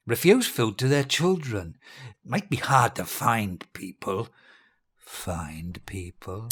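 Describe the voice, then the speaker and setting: A dying dictator in an audio drama, deep and very slow of speech. He is cruel, grandiose and childish, a deranged male tyrant who swings wildly between hush and bellow with theatrical deliberation. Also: breathy edge, full character voice. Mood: cold, commanding.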